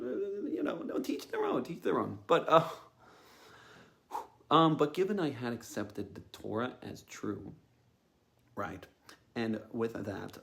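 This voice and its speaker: Young man, creaky, halting storyteller. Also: on the low side. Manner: hesitant, halting delivery with frequent uh and um. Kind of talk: informal first-person storytelling talk.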